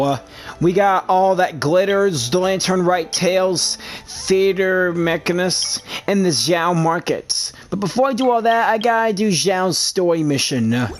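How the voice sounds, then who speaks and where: Male gaming YouTuber, casual commentary, halting delivery, faint music in background. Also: high voice. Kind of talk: casual gameplay commentary, reading along with dialogue. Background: game music.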